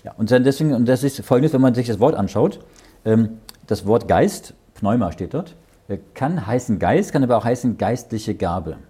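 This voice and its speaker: Male Bible teacher, middle-aged, husky and lively, reads verses and explains them, often asks "ja?". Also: mid-range voice, moderate pace. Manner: reads verses aloud, then explains them in plain words; often checks understanding with "ja?".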